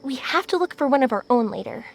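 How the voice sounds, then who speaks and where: A woman's voice: high voice, lively intonation, fast talker, music in the background. A woman playing an upbeat, encouraging, kind young woman with an adventurous streak in a video game. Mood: warm, practical. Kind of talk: video game character acting.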